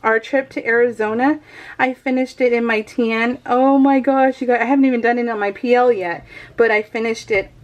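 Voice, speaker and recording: Chatty, excitable woman vlogger, giggly. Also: a little high, brisk, lo-fi sound. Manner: addresses viewers familiarly. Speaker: craft vlogger hosting a scrapbooking video. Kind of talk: casual chatty voice-over while crafting.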